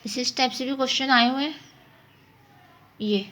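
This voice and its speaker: A woman's voice: sits high, fairly even intonation, a little slow, fairly bright tone. A woman teaching a mathematics lesson, explaining step by step and often answering her own questions. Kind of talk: informal spoken lesson, working through problems.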